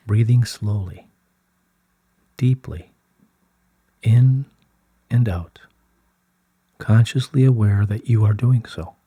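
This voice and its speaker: A man giving a slow scripted reading of spiritual guidance in a solemn, measured, soothing way, with a soft, breathy voice. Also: lowish voice, no room sound. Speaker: reader of channelled spiritual messages.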